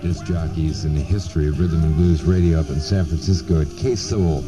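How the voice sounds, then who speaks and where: A man's voice: a bass voice, steady tone, normal speed. An American man chatting casually as a radio DJ and back-announcing songs. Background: music.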